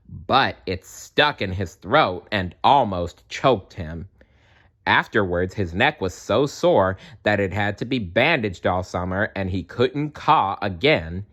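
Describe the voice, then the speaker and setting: A man reading a children's story aloud in an expressive narrating style. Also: lowish voice. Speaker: storybook reader.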